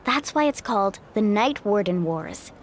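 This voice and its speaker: A woman's voice: mid-range voice, animated, normal speed, heavy background noise, natural voice. A woman voicing a confident, encouraging young woman in a game, upbeat and reassuring. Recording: game audio with faint music.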